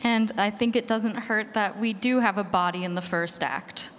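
A woman's voice: medium pitch, normal speed, a bit bright. A woman moderating a panel, introducing topics and putting questions to the panelists. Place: large reverberant hall at a live panel. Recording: echoey hall, background noise.

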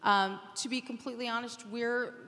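A woman's voice: fairly high pitch, fairly even intonation, moderate pace, sharp tone. A woman speaking off the cuff on a conference panel, explaining things in an informal way. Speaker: panelist, media executive. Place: conference hall, live panel. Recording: echoey hall with long reverb.

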